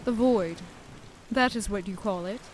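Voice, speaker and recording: An actress playing a cold, imperious warrior goddess in an audio drama, with terse, blunt lines. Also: female voice, medium pitch, measured pace, lightly acted. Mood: cold, probing. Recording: faint noise under rain and thunder. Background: rain and thunder ambience.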